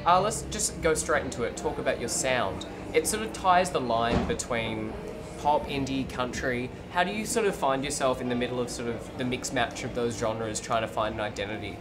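Male host, youthful, casual filler-heavy questions, loud music behind. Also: mid-range voice, moderate pace. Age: young adult. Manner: upbeat, filler-heavy with "sort of". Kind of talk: casual interview. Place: pub room at a gig. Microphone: camera framing, seated at table. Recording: loud live band in background.

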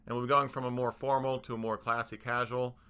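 A man giving a halting, explanatory voice-over for a slide presentation, speaking as a manager laying out plans. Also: mid-range voice, level tone, pushed and bright, smooth.

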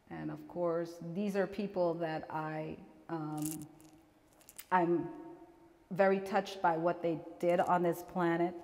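A middle-aged woman from Guyana giving a relaxed, chatty talk about her portraits, laughing often and restarting her sentences. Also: on the low side. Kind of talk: gallery artist talk. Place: small gallery room with seated audience, indoors. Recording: long reverb from the room.

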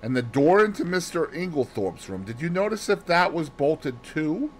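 Man playing a placid male sleuth, deliberate and fussy, lively intonation, audiobook dialogue, some background noise. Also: a little high, voice pushed up. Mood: methodical questioning. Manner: precise, deliberate, peppered with French phrases. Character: fussy, placid Belgian male detective, growing old.

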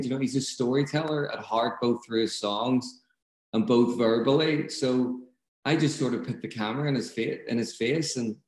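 A man's voice: mid-range voice, darkish tone. A man in his thirties with a Belfast accent, a film director speaking thoughtfully and earnestly over a video call. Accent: Northern Irish, Belfast. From Northern Ireland. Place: room at home. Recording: echoey room.

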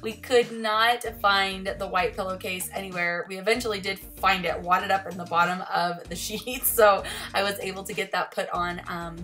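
Chatty woman over soft music. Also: medium pitch, moderate pace, hardly any pauses. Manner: chatty, relaxed, laughs often. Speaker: vlogger. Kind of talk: casual voice-over chat. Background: soft music underneath.

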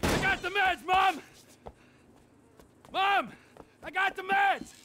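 An actor playing a frantic, needy grown son in a video game cutscene, loud and pleading with his mother. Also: extremely high pitch, slow pace, slight background noise, exaggerated voice. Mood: frantic calling. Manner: pleading, shouting.

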